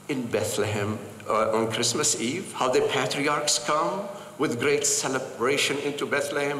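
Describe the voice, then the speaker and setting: An older man from Palestine telling personal stories to an audience with earnest, animated delivery and frequent pauses. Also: fairly high pitch, a little hiss or hum. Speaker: speaker. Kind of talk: personal storytelling talk. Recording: echoey hall with light ambience.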